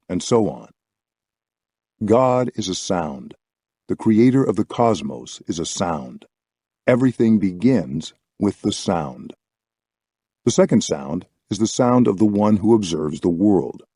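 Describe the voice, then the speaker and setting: Mellow, low-voiced man reading an audiobook. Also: husky, no room sound, clean studio sound. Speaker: audiobook reader. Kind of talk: scripted audiobook narration.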